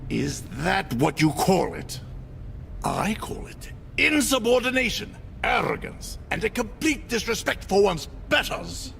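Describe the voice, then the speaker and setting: A man's voice: high-pitched, very animated, unhurried, creaky, fairly bright tone, slight background noise, exaggerated voice. An actor playing a haughty older corporate executive in a video game, pompous and theatrical in his contempt. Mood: contemptuous. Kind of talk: dramatic video game voice acting.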